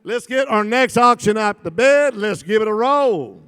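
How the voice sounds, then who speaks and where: A man working a live charity auction, rattling off bids in a rapid rhythmic chant and joking with the crowd. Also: high voice, expressive, fairly bright tone, smooth. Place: large banquet hall at a gala. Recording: long reverb in a big hall.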